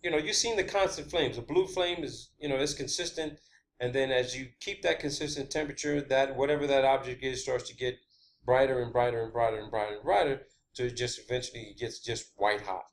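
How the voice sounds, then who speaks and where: A man hosting an informal theorizing monologue, speaking in a halting, repetitive and emphatic way with blunt wording. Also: mid-range voice, fairly even intonation. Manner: blunt, swearing. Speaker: video host.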